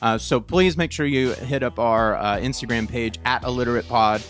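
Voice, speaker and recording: A man hosts a casual podcast in an excited, easily amazed way. His voice sits at an average pitch, and he often doubles back on words for emphasis. Music plays faintly behind him. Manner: halting, repeats and stresses his words. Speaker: podcast host.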